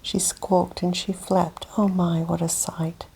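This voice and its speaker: Slow whispering woman reading a storybook. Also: fairly low pitch, little pitch movement, gravelly, breathy edge, poor audio. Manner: soft gentle whisper. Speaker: host.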